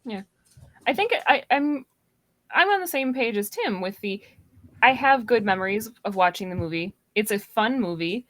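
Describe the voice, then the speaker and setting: A woman's voice: a little high, expressive, normal speed, fairly bright tone, no echo. A woman in a casual, conversational discussion as part of a podcast panel. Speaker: podcast guest or co-host.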